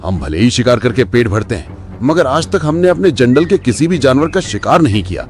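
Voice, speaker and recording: A man's voice: mid-range voice, sing-song, brisk, natural voice. A man voicing a gullible, resentful wolf servant in a cartoon, earnest and dramatic. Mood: indignant. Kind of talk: Hindi cartoon voice acting. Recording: background music behind the voice.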